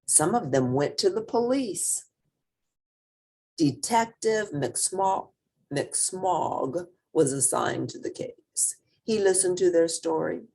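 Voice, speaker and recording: A woman narrates a children's picture book in an expressive read-aloud style. Her voice is low and husky, and she takes an unhurried pace. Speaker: storybook reader.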